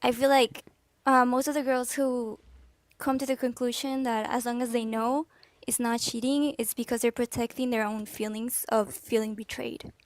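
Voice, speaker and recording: A woman's voice: fairly high pitch, steady tone, normal speed, no room sound. Girlish-voiced young woman calling in. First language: not English. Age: 20s. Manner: hesitant, with fillers and light laughs. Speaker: caller. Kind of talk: casual call-in talk.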